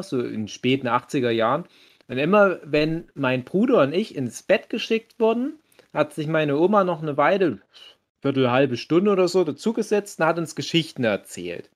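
A man in his thirties with a German accent, reminiscing in a casual, reflective and explanatory way. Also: on the high side. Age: 30s.

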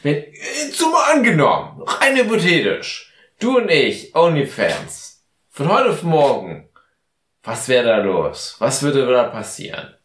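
A man's voice: a little high, wildly swooping pitch, measured pace, fairly bright tone, echoey room. A tipsy man co-hosting a casual podcast, rambling along with mock-formal phrasing. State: tipsy from mixed drinks. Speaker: podcast host. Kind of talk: casual, bantering podcast chat.

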